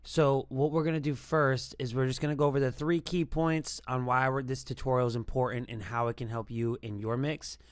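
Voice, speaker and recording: A man's voice: mid-range voice, fairly even intonation, a little fast, few pauses, no echo, studio quality. A man hosting a music production tutorial, explaining things in an informal, rambling, conversational way. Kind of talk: casual tutorial talk.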